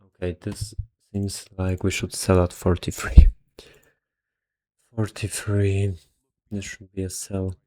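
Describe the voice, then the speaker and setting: Tired guy doing a hesitant think-aloud investing tutorial, flat delivery, very dry close sound. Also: sits low, slow, long pauses, no room sound. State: somewhat tired. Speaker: investor explaining stock valuation. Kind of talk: casual think-aloud screen walkthrough.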